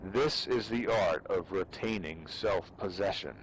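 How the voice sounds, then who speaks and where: A man giving a solemn, deliberate dramatic reading of a military treatise, with pauses between phrases. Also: deep voice, slight background noise, low-quality recording. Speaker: amateur reader performing for fellow gamers.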